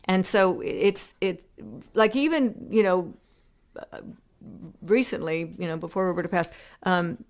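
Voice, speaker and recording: Low, gravelly woman telling stories. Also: measured pace. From United States. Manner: reflective storytelling with many restarts and fillers. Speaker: musician guest. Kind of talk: casual interview conversation.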